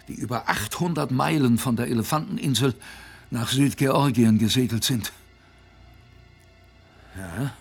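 Old seafaring grandfather, radio drama. Husky, slow, reflective storyteller. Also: medium pitch. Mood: reflective, grave. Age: older. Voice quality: gravelly, husky. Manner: halting, reflective, emphatic. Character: old former polar seaman, proud reflective grandfather. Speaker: narrator in an audio drama.

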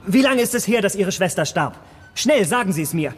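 Haughty, higher-voiced young gentleman. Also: lively intonation, a little fast, husky. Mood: alarmed. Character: youthful-looking, haughty, restless, corrupt gentleman. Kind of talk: radio drama acting. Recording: long reverb, faint music behind.

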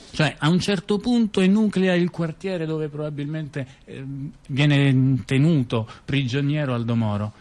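A man spins a rambling tale for a radio theater piece. His talk is chatty and digressive, and he keeps breaking off for asides and fillers. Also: fairly high pitch. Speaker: theater performer. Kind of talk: theatrical storytelling dialogue on radio.

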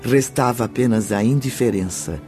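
Casting: formal male storyteller for radio drama, medium pitch, clear musical score behind. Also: moderate pace, mellow, faint music behind. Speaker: narrator. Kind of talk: scripted radio drama narration.

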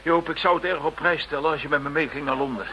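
A tense, bright-voiced cop. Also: male voice, a little high, steady tone, fast, muffled recording, a little hiss or hum. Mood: urgent. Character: tense, urgent male police officer. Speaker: police officer. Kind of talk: radio drama acting.

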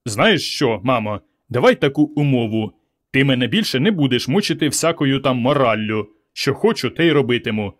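A male narrator acts out a brash teenage son from a village tale. His delivery is highly animated, with pitch jumping around as a cheeky youngster's would. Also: moderate pace, close to an everyday voice. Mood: cocky, careless. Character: cocky teenage village son who turns whining and pleading.

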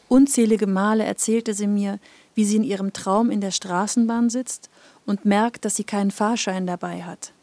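A woman narrating a personal audio piece in an intimate, reflective, measured manner, from a script. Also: mid-range voice, dark tone, no room sound.

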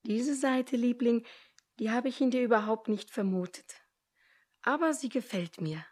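An actress playing an adoring, naive young sweetheart in a German radio drama, affectionate and earnest. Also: female voice, on the high side, unhurried, darkish tone. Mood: admiring. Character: adoring, naive young sweetheart who parrots her father.

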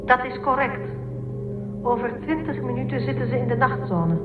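A woman playing a calm, dutiful ship's intelligence in a science fiction radio play, precise, detached and formal. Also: sits high, moderate pace, close to an everyday voice. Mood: factual. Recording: echoey tinny audio over loud music.

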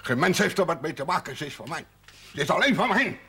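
A grieving old rustic in a seventies TV drama, defiant and possessive. His voice is gravelly and a little high, blunt and loud over faint noise. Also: sing-song, fast, full character voice. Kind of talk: 1970s television drama acting.

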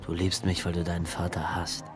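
A man's voice: low voice, monotone, brisk, music in the background, natural voice. An actor playing an anxious, exasperated man entangled in a blackmail deal in a radio drama, tense, reproving and hesitant. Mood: tense, firm.

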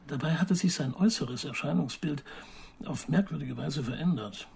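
A journalist narrates in a radio drama with a gravelly, rough voice pitched a little high. He is observant and precise, choosing formal, wordy phrasing. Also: normal speed, darkish tone, exaggerated voice. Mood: reflective narration. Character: male investigative journalist recounting his interviews, formal and observant. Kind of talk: radio play narration and interview.

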